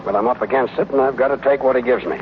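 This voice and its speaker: A man's voice: medium pitch, very fast, lightly acted. An actor playing a harried, exasperated businessman husband in a 1940s radio comedy, a flustered straight man on a muffled, noisy recording. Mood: weary, worried.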